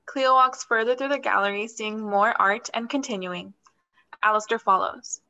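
Young woman, bright voice, slightly high, scripted stage-direction narration, play reading. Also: moderate pace, dull audio.

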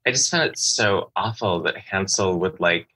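A man's voice: deep voice, lively intonation, normal speed, sharp tone, rough recording. A man discussing literature casually as a panelist on a podcast.

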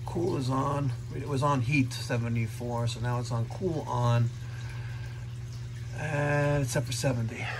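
A man's voice: medium pitch, steady tone, unhurried. A man in his forties, an HVAC technician, chatting casually to viewers while working on a service call. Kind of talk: first-person service call vlog. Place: outdoors beside AC units at a large house. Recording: background AC and outdoor noise.

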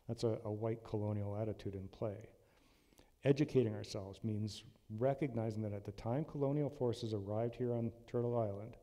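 A man in his sixties giving an earnest, measured sermon from a church lectern, with frequent ums and restarts. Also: lowish voice, warm tone. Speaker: lay preacher. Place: church sanctuary. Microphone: lectern mic. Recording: long hall reverb in a church.

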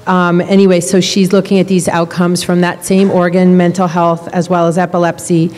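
A woman introduces a guest speaker in a relaxed, chatty way at a stand mic. Her voice sits on the low side. The sound carries the long reverb of a large hall. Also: moderate pace, slight background noise.

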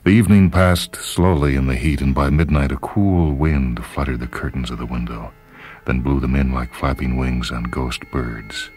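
A man reading literary short fiction as a radio host, in a measured, dramatic storytelling manner. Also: very deep voice, a little mellow, breathy edge. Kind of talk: literary scripted narration. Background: background music.

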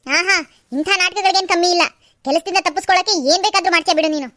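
A woman's voice: very high voice, very fast, no room sound. A woman voicing a stern middle-aged mother-in-law who nags and scolds, in an audio drama. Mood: scolding. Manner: nagging, sharp scolding.

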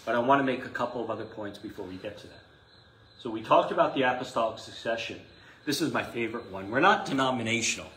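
A man's voice: medium pitch, fairly bright tone. A middle-aged man, a priest giving an informal class, conversational and emphatic, with rhetorical questions and asides. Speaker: Orthodox priest teaching a class. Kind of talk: informal live lecture to a class. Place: small indoor classroom. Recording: echoey room, some noise, mic at a distance.

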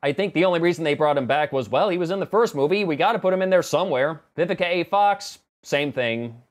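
Sarcastic man with very lively intonation. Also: on the high side, fast. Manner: sarcastic, exasperated. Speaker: film review vlogger. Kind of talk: conversational movie review to camera. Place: small home office room indoors.

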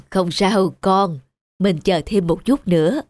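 An actor voicing a kindly, wise old master in a cartoon, speaking in a measured, patient, instructive way. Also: male voice, sits high, darkish tone, a little hiss or hum. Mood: calm, gentle.

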